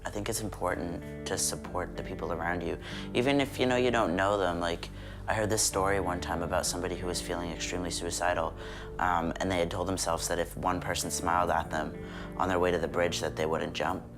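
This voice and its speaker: A man's voice: low-pitched, fairly even intonation, brisk. A young man in his twenties speaking casually and upbeat in a documentary interview, often saying "like". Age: 20s. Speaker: youth center worker. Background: background music.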